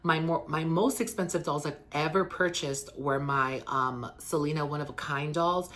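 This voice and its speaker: A man who is sick and hoarse, talking through a casual unboxing and review video as the channel's host, rambling eagerly with lots of ums and likes. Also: steady tone, moderate pace, fairly bright tone. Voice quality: lower than usual because of the illness. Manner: eager and earnest about the doll. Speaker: doll collector and channel host.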